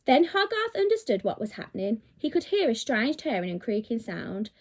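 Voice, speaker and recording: A woman's voice: fairly high pitch, very animated, moderate pace, a little mellow. A woman reading a children's story expressively, with dramatic, emphatic delivery, like a teacher reading to pupils.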